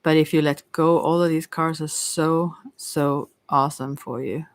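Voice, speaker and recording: A tarot card reader talking informally to listeners in a halting, rambling way, often stumbling over words. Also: medium pitch, fairly even intonation, no room sound.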